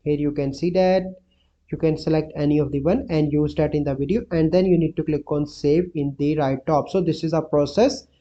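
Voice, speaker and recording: A man's voice: on the high side, fairly even intonation, brisk, dark tone. A man hosting a how-to video, narrating app instructions step by step in a lo-fi recording. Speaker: tutorial host. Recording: dull, lo-fi voice-over.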